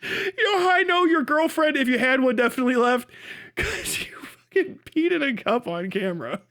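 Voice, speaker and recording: A man's voice: very high-pitched, animated, normal speed, fairly bright tone. A man livestreaming mocking commentary on a video, casual and sweary, with incredulous exclamations.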